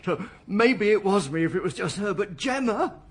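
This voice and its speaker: An actor playing a distraught middle-aged husband under police questioning, pleading and halting in a radio drama. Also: male voice, high-pitched, lively intonation, husky. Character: distraught middle-aged husband accused of attacking his family.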